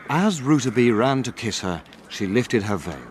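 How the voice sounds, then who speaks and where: Male actor as reflective narrator, radio drama, literary, lively intonation, light background noise. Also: medium pitch, brisk, close to an everyday voice. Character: reflective male narrator, loyal friend looking back. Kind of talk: dramatized first-person storytelling, radio drama.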